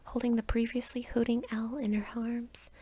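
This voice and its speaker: A young woman narrating a scripted second-person ASMR story in a soft whisper. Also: on the high side, little pitch movement, moderate pace. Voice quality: whispery, breathy.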